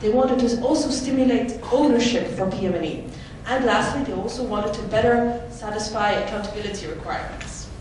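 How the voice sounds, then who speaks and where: A woman's voice: fairly high pitch, fairly quick, few pauses, darkish tone. Woman presenting in an echoey hall. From Netherlands. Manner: clear explanatory delivery with occasional fillers. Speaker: conference presenter. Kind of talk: conference presentation. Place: large conference hall. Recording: echoey hall, mic from far off, noisy.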